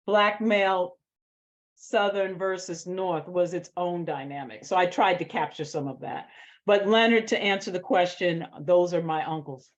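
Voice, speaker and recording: An American woman, a screenwriter, speaking emphatically and with passion in a question-and-answer session after a script reading. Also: mid-range voice. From Boston, United States. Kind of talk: post-reading Q&A talk. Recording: dull lo-fi sound.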